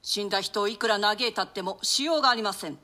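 A woman's voice: medium pitch, fast, sharp tone, natural voice. A woman acting a stern, grieving old duchess and grandmother in a classic stage play, speaking in a theatrical, archaic manner. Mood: gentle scolding. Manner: lamenting, theatrical, admonishing.

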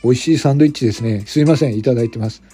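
Man acting humble male comedian, deferential, hesitant, dark husky voice, radio drama, background music. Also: medium pitch, racing, close to an everyday voice. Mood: polite, deferential. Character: polite, modest male comedian, easily surprised.

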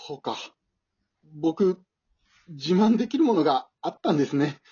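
A meek, nervous husband acted by a man in an amateur radio play. He stammers and hesitates, his husky voice leaping up and down in pitch. The recording is a little dull. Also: animated, normal speed, dark tone. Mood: humble, moved. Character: timid, nervous, unimpressive husband and father at singing contest. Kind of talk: amateur radio drama acting.

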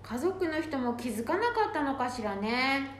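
Echoey, high female voice, homely daughter-in-law woman acting, amateur radio drama. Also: lively intonation, moderate pace. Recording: echoey room with faint noise.

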